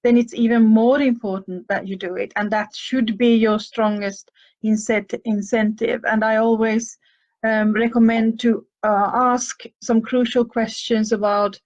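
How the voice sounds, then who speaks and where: A woman's voice: a little high, level tone, measured pace, a little mellow, highs cut off. An older woman from with a slight cough, hosting a show and answering listener questions in a thoughtful, hesitant way with many fillers. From Finland. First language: Finnish and Swedish. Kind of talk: advice talk answering questions.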